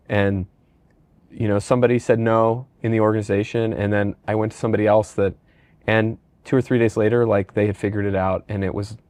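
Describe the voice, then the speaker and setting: A man's voice: fairly low pitch, darkish tone, no room sound. A middle-aged man hosting a conversational podcast interview, casually asking questions and rambling through anecdotes. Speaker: podcast host, company leader.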